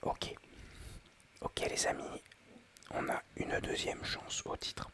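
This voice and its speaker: A man whispering ASMR-style commentary while playing a mobile game, chatty and excitable, addressing his viewers. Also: slow pace.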